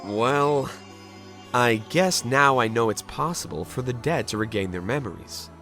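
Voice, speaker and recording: A man playing a wry, kindly ghost detective with an expressive delivery in a game fandub. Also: mid-range voice, a little slow, soft background music. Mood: pondering. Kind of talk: animated game fandub acting.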